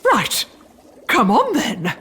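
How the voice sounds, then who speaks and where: A woman playing a brisk, blunt and gullible queen in a comic audio drama, regal and exclamatory. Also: fairly high pitch, huge pitch swings, noisy background, full character voice. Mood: brisk, imperious.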